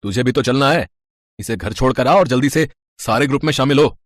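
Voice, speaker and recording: A man's voice: medium pitch, fairly quick, no room sound, lightly acted. A man voicing a brusque, bossy owner of a wedding band in a story. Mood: dismissive, scolding. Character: gruff, dismissive male boss of a wedding band.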